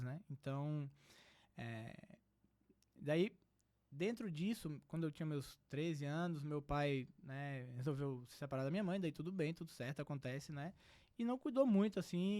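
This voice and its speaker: A young man from Brazil talks as a podcast guest, earnest and reflective. His voice sits high for a man and the words come quickly. The studio sound is dry and close. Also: a little mellow, dry recording. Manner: earnest, reflective, many filler "né". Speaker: psychiatrist guest. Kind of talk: casual podcast conversation.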